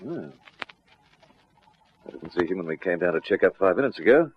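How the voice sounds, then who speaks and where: An actor playing a watchful, brisk police detective on night patrol, in an old radio drama. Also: male voice, sits low, big pitch swings, husky, exaggerated voice. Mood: alert, hushed. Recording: dull, noisy old radio recording.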